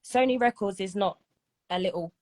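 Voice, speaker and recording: A woman's voice: mid-range voice, normal speed, darkish tone. A woman in her thirties, a singer, chatting casually in an interview and often checking "do you know what I mean?". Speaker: singer and guest.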